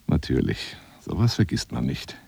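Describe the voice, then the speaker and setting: A man's voice: very animated, normal speed, mellow, slight background noise, full character voice. An actor playing a lonely, boastful middle-aged bachelor adventurer wooing a woman by phone in a radio drama, with flowery, insinuating talk that drops into near-whispers. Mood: eager, courting. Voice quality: deep, gravelly. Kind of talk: radio drama monologue, one side of a phone call.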